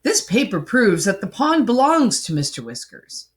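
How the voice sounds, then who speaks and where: A woman voicing a stern male sheriff in a storybook, in a measured, official manner. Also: mid-range voice, wildly swooping pitch. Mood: declaring.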